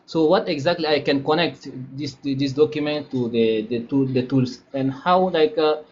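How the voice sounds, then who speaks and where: A man's voice: on the high side, normal speed. Hesitant, polite male caller. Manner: hesitant, many fillers, polite. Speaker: caller, master's student. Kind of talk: asking a question on a live call. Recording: lo-fi call with faint background noise.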